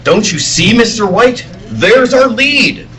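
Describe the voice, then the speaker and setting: A man playing an earnest reporter with a secret heroic side, speaking with deliberate urgency in old-time radio serial style. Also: sits high, expressive, a bit bright, heavily acted. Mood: urgent, deducing.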